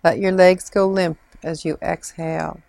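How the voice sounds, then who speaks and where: A woman leading a guided imagery meditation in a soothing, measured manner with long pauses. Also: lowish voice, little pitch movement, gravelly, a little mellow, no echo. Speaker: meditation guide, clinical social worker.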